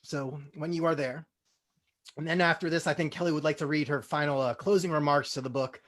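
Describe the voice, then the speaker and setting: A man's voice: on the high side, fairly even intonation, fairly quick. A man hosts a comedy table read. He talks casually to the group and then reads scene settings as a narrator. The delivery moves between offhand chat and scripted narration. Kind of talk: casual hosting with scripted stage-direction narration.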